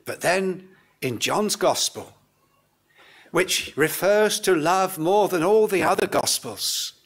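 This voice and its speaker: An older man preaching a sermon in an earnest, solemn, deliberate way, in short phrases with pauses. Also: high-pitched, animated. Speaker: pastor. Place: indoors, church pulpit. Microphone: lectern gooseneck microphone.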